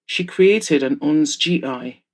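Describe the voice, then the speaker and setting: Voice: medium pitch, slow, lightly acted. A narrator voicing a cheeky, teasing bachelor friend in an audio story. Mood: teasing, knowing. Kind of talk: audiobook-style character dialogue.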